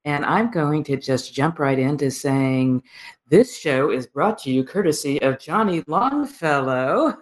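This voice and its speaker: A woman hosting a radio interview show, chatty and warm, laughing often and thinking aloud. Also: sits low, expressive, unhurried. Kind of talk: casual interview chat.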